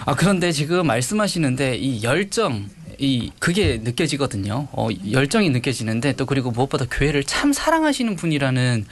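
Interviewer, polite, affirming, lively rising and falling pitch. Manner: polite, quick affirmations and soft laughs. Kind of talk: church community interview.

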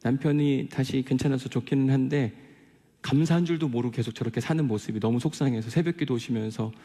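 A man preaches in a conversational, earnest way, weaving in personal stories. His voice is mellow and mid-pitched with a gravelly creak. Long hall reverb surrounds his words. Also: fast talker. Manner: conversational, earnest storytelling with rhetorical questions. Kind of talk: sermon with personal stories and diary reading.

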